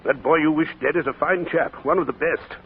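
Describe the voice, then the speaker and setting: An actor playing a frank old friend urging forgiveness, forthright and reproachful, in 1940s radio acting on an old broadcast recording. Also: male voice, on the high side, brisk, close to an everyday voice. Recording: old AM broadcast, narrow and hissy.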